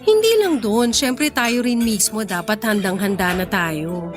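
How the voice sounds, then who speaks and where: A woman playing a young businesswoman in love, playful yet cautious, in expressive, teasing Filipino radio romance acting. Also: medium pitch, unhurried, natural voice. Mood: teasing, reassuring. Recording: background music under the voice.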